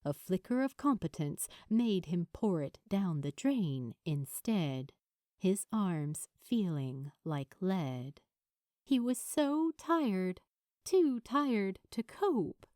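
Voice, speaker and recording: A woman reading a story aloud as an expressive audiobook narrator, with dramatic, weighted pauses. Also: a little high, no echo, studio quality. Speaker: fan fiction narrator.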